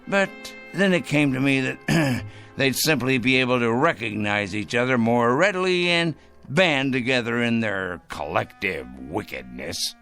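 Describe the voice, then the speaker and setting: Fussy paranoid crank in a radio play, sharp forceful voice, slow deliberate ranting, music behind. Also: male voice, mid-range voice, creaky, heavily acted. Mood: gleeful scheming. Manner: fussy, excitable, theatrical ranting. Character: paranoid, self-righteous crank obsessed with punishing evil people. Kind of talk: dramatic radio play acting.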